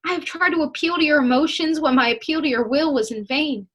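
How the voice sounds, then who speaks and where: A woman's voice: sits high, quick pace, a bit bright, lo-fi sound. A young woman acting an ardent, impatient lover in a stage melodrama, declaiming with passion. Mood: resolute. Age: young adult. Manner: declamatory, impassioned. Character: ardent, impatient lover, eloquently scolding and pleading. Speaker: student actor. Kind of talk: stage acting in a one-act period melodrama.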